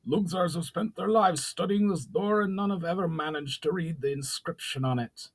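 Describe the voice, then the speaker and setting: A man's voice: fairly high pitch, moderate pace, no echo, pitched above the performer's own voice. A man voicing a fat, blunt, sceptical goblin councillor who blurts out doubts in a storybook reading.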